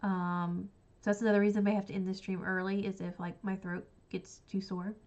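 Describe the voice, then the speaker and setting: A woman's voice: mid-range voice, flat delivery, a little fast, darkish tone, dull audio. A woman, congested and sniffly from a cold, chatting in a rambling way about books on a livestream. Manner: rambling, chatty, full of likes and ums. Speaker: book channel host. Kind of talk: casual livestream chat.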